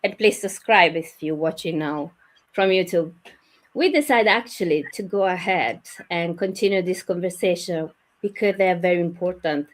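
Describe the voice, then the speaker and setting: A woman in her forties from Italy with a strong Italian accent, hosting a live online conversation as a nurse career coach, speaking English as a second language in a slightly halting way over a video call. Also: on the low side, low-quality recording. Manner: warm, slightly halting non-native English.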